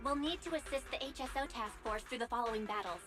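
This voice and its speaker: A woman's voice: a little high, fast, natural voice. A woman playing a businesslike young squad member in a video game, with a matter-of-fact delivery. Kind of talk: scripted game voice acting. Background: game music.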